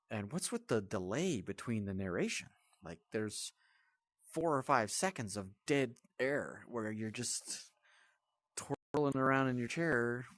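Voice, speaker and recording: A man with a fairly low voice reviews a video game off the cuff. He is blunt and grumbles as he goes, sounding exasperated. The recording is dry, with very little room sound. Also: no echo. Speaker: host of a VR game review channel.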